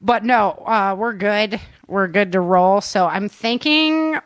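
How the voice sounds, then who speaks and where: Clear-voiced woman hosting a podcast, upbeat. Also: mid-range voice, slow pace, dry recording, clean studio sound. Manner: upbeat, informal. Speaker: podcast host. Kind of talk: casual chat and sign-off.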